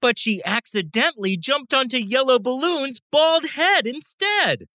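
A woman reading a children's bedtime story as a scripted, animated narrator, acting out the sound words. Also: sits high, measured pace, no room sound, clean studio sound.

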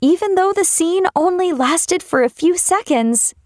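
A woman narrating a fairy tale for children as a storyteller, with expressive delivery. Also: very high voice, a little slow, no echo, clean studio sound.

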